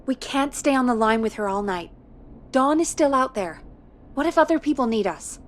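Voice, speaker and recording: An actress playing a frank, practical radio producer in a video game, talking in a matter-of-fact, slightly wry way. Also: female voice, sits high, lively intonation, normal speed. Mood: earnest, practical. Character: practical, frank woman radio producer in 1980s small town. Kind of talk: video game voice acting, conversational.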